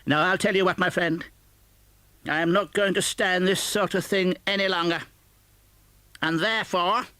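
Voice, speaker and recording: A man's voice: high voice, steady tone, normal speed, pushed and bright, dry recording, voice pushed up. A man voicing an elderly reformed miser from a storybook, emphatic and exclamatory, by turns mock-stern, giddy and earnest. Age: older. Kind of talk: dramatised audiobook reading.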